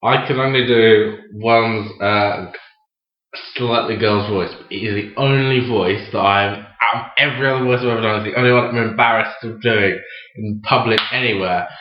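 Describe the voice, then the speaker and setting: Lively young man, echoey lo-fi room. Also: fairly low pitch, moderate pace. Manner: animated storyteller, slips into playful voices. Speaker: podcast co-host. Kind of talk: casual chat. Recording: echoey room, dull lo-fi sound.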